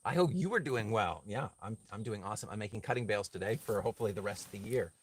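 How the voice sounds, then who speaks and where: A man chatting casually to livestream viewers as he works on a craft, thinking aloud in a halting, reflective way and answering their comments. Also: lowish voice, lively intonation, husky.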